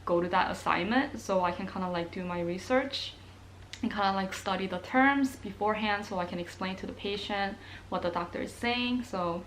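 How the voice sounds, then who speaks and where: A woman's voice: medium pitch, normal speed, fairly bright tone, poor audio. A young woman from Korea, chatting casually to camera as a nursing student vlogger, with plenty of ums and likes. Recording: faint background noise.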